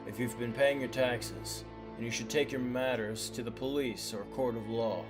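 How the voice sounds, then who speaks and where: A man voicing a composed young gangster leader in an anime fan dub, formal, measured and authoritative. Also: mid-range voice, steady tone, music in the background, natural voice. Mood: stern, composed.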